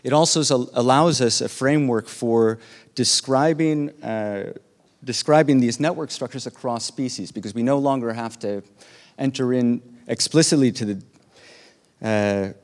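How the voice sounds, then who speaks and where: A man delivers an academic keynote from the stage of a large lecture hall, walking the audience through his slides. He speaks at a medium pitch and pauses often with "uh" as he explains. His voice carries a long, echoing reverb from the hall. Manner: measured, with frequent "uh" pauses while explaining slides. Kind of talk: academic conference talk.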